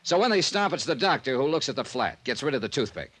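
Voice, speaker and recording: A man's voice: medium pitch, sing-song, fast, a bit bright, husky. A man playing a wry, persistent insurance investigator, narrating and talking in clipped 1950s radio drama style. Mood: persuasive, insistent. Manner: punchy, clipped, conversational. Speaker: narrator and lead detective. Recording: old-time radio recording.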